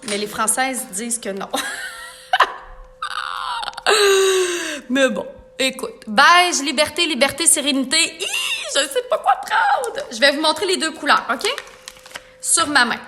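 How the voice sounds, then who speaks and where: Brief: chatty Quebec woman, high and bright, live makeup demo, faint music behind. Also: big pitch swings, takes it slowly, pauses often. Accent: Quebec French. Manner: chatty, playful, reads viewer comments aloud. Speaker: makeup artist.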